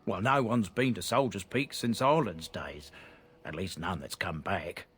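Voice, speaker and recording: A man's voice: deep voice, unhurried, fairly bright tone, slightly rough, soft background music, full character voice. A man voicing a proud, blunt merchant from a disgraced noble family in a video game. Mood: earnest. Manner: blunt, earnest, plain-spoken. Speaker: game character.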